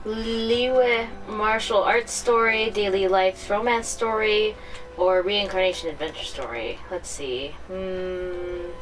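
A woman's voice: medium pitch, fairly even intonation, takes it slowly, over music. A woman streaming a game playthrough with casual commentary, hesitant and trailing off as she reads aloud. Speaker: gaming streamer. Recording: distant mic, faint background game ambience.

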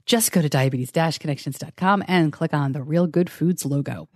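A woman's voice: moderate pace, no echo. Upbeat woman podcast host, dry studio mic. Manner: upbeat, laughs often, animated pitch swings. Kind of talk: podcast ad read and interview.

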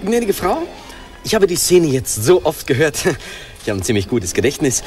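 An actor playing a gushing, brash charmer with an effusive, halting manner in a radio play. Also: male voice, a little high, big pitch swings, a little mellow, faint music behind. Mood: earnest. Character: gushing, flattering, brash showman courting a nymph. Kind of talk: German radio play acting.

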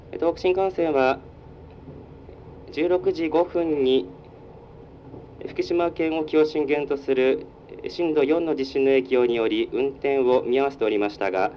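A man's voice: a little slow, long pauses. Muffled male train conductor over the PA. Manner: formal, polite, procedural. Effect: public address speaker. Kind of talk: onboard train announcement. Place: inside a moving train. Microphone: recorded from the carriage speaker. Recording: muffled train PA, rumbling vehicle noise. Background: train running noise.

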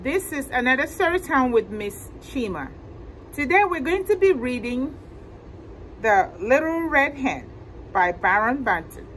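A middle-aged woman hosting library storytime, reading a picture book to children in a deliberate, expressive way and asking them questions. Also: high voice, slight background noise, poor audio. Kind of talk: animated picture-book read-aloud to children. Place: indoor library room with bookshelves.

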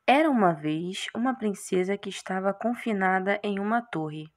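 A woman's voice: mid-range voice, expressive, moderate pace. A woman with a Brazilian accent, hosting a casual gaming let's play and reading aloud as she goes. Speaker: gaming channel host.

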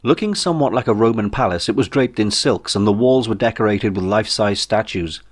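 Low-voiced man reading a comic story. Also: a little fast, slightly rough. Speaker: author reading own audiobook. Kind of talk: scripted narration, comic science fiction story.

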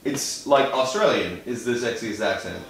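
Co-host, male, casual table chat, halting restarts, mid-pitched, echoey room. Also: expressive, a little hiss or hum. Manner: stammering restarts, steers the topic. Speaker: podcast co-host. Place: living room around a table, small group.

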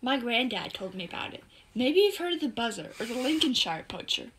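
Clever, bossy young girl, played by a female performer, high voice, confident explainer in an audio drama. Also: sing-song, fairly quick, a bit bright, slight background noise, poor audio. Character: eager, bossy, clever young girl recounting her discovery. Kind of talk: scripted storytelling, audio drama.

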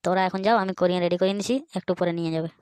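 A cartoon village shopkeeper, voiced by a performer who rattles along extremely fast at a middling pitch. The delivery is matter-of-fact and businesslike, in a rural Purulia Bengali accent. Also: fairly even intonation, darkish tone, clear, resonant tone, dry recording, natural voice. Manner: businesslike, listing briskly. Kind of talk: comedy cartoon dialogue.

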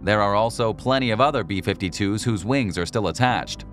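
A man reading a scripted documentary narration in an even, measured way. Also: fairly low pitch. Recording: voice-over with faint music.

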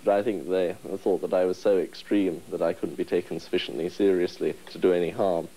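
A man's voice: low voice, steady tone, a little fast, dark tone, poor audio. A young man in his twenties being interviewed in a study, answering in a wry, hesitant way with ums. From England. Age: 20s. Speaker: union president interviewee. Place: study room, indoors.

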